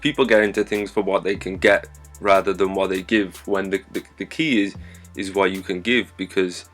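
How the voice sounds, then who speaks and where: Low, flat-voiced podcast host man. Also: moderate pace, faint music behind. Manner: agreeable, encouraging, says "man" often. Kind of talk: casual podcast conversation.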